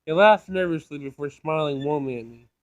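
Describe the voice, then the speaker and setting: A man's voice: fairly high pitch, fairly quick, lo-fi sound. A man hosting a casual let's play, reading a visual novel aloud and reacting in informal, slangy speech. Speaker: gaming video host.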